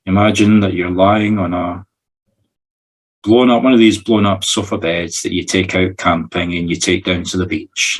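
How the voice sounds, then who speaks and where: Male Scottish meditation guide, low-pitched husky voice, short spoken instructions with an improvised feel. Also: low-quality recording. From Scotland. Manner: instructing in short, separate phrases. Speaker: medium leading a guided meditation. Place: recorded over a video call.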